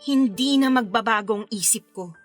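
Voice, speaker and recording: A woman's voice: a little high, normal speed, soft background music, lightly acted. An actress playing a firm, hurt woman resisting her lover in an emotional Filipino radio-style romantic drama. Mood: firm, reproachful. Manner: emotional, reproachful.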